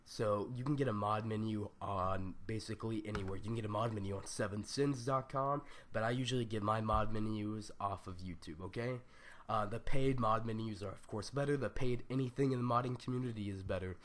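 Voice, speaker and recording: Young male tutorial host, lowish and scratchy from a stuffy nose, loose and rambling, full of slang. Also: brisk, a bit bright. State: raspy, stuffed-up voice. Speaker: gaming tutorial youtuber. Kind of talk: casual screen-recorded tutorial.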